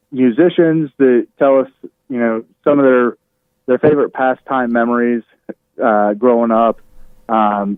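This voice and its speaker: A man speaks over a narrow, phone-like connection with no room echo. His voice is medium in pitch, and he talks casually as a radio host being interviewed. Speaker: radio show host appearing as a podcast guest. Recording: thin, narrow phone-like line.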